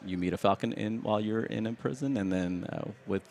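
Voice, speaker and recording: Deep gravelly guy from sri lanka demoing his game at a show, lots of ums and restarts. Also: darkish tone. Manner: halting, many fillers and restarts. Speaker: game developer, studio founder. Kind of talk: casual demo walkthrough. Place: live event floor.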